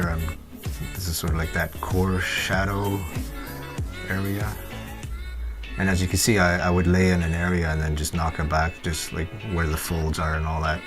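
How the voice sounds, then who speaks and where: A man with a very low voice narrates a digital art demonstration. He speaks off the cuff, halting and searching for words as he thinks aloud. Guitar music plays clearly behind his voice. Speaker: digital art tutorial presenter. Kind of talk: unscripted software tutorial voice-over.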